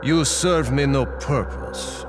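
An actor playing a haughty, ruthless cult leader villain in a video game, grandiose and gloating. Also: male voice, mid-range voice, animated, slow pace, creaky, music in the background. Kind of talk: video game cutscene acting.

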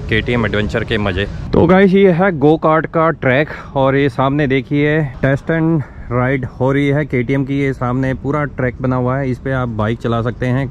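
A middle-aged man chats straight to his viewers in a casual vlog. He is recorded outdoors on a close action camera. Traffic and vehicle noise stay clearly audible behind him. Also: medium pitch. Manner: chatty, addresses viewers directly. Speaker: motorcycle vlogger. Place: outdoors at a mall go-kart track. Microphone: action camera held close or helmet-mounted.

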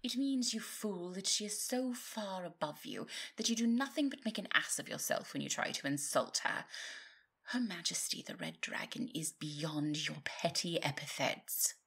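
A woman's voice: fairly low pitch, moderate pace, creaky, fairly bright tone, husky, exaggerated voice. A woman playing a haughty, easily offended griffin courtier in a fantasy audio roleplay, pompous and pleading. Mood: indignant, haughty. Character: haughty, indignant female griffin, devoted courtier.

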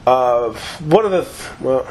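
A man giving loose, profane, rambling commentary over his own gameplay, his voice slightly hoarse after a long session. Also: mid-range voice, expressive, measured pace, darkish tone, slight background noise. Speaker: gaming YouTuber.

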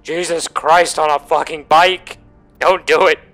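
A man's voice: on the high side, fairly even intonation, measured pace, a bit bright, soft background music. A young man giving casual, crude and exclamatory commentary while playing a video game. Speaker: gaming video host. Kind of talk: casual let's play commentary.

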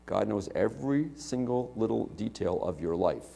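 A man's voice: fairly low pitch, animated, moderate pace, vocal fry, dark tone. A middle-aged man preaching a sermon in a church, talking conversationally and checking in with listeners with "okay?" and "right?". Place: church sanctuary, large indoor hall. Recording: long reverb of a church hall.

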